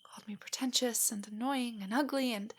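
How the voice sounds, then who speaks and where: A woman performs a nerdy young girlfriend in an intimate audio drama. Her voice is fairly high and breathy, often slipping close to a whisper. She is nervous, self-doubting and affectionate, hesitating and stammering as she speaks. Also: fairly even intonation, brisk. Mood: insecure, subdued.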